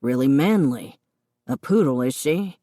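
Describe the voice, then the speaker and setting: A woman playing a man who teases his friend in a slow, mocking drawl. Low, dry, intimate sound. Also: animated, dark tone, no echo.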